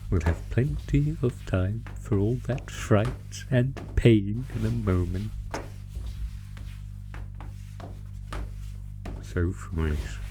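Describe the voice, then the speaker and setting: A man plays a sinister monster from under the bed in a horror audio roleplay, with a very low voice. He gloats and taunts with mock politeness, very slowly and deliberately, relishing cruelty over clearly audible music. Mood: gloating menace. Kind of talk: horror roleplay audio, slow theatrical menace.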